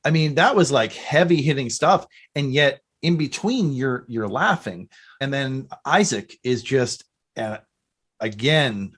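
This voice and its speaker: Rambling male podcast host, medium pitch. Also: lively intonation, unhurried, fairly bright tone, husky. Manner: rambling, self-interrupting. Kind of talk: casual fan chat.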